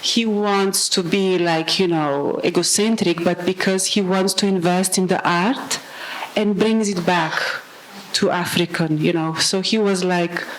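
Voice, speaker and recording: A woman, an author talking about her novels, often pausing and restarting as she hunts for the right English word. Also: fairly low pitch, fairly even intonation, rough recording. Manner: searching for words, many fillers and restarts. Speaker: author presenting her work. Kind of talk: public talk and answering questions.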